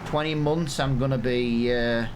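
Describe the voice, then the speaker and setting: A man's voice: mid-range voice, fairly even intonation, a little slow, background noise. An English man in his thirties, rambling and self-mocking as he gives casual commentary over a farming game. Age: 30s. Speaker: gaming channel host. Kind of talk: casual let's-play commentary.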